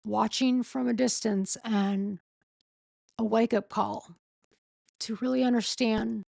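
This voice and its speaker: A woman with a sore throat and a failing voice, reading a legal filing aloud in a slow, halting way and often stopping to correct herself. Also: a little high, steady tone, gravelly, mellow, no room sound. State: losing her voice, sore throat. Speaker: streamer reading a court filing. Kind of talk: casual late-night live stream.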